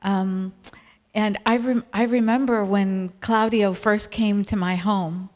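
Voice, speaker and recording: A woman's voice: medium pitch, fairly even intonation, slow, a little mellow. A middle-aged or older American woman giving a religious family seminar talk to a live audience. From Appalachian Virginia, United States. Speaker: seminar speaker. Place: large hall at a camp meeting. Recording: reverberant hall sound system.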